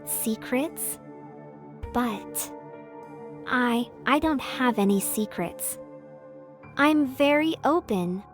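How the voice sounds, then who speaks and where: Woman acting a small bright-eyed dragon, eager, earnest, higher pitch, very slow delivery, fantasy audio drama. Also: music in the background. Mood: nervous, earnest. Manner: excitable, earnest.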